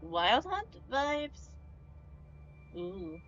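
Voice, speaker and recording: A woman casually comments on a game she is streaming. Her mid-pitched voice moves around a lot, with game music clearly behind it. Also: very animated, drawn-out words, fairly bright tone, dull audio.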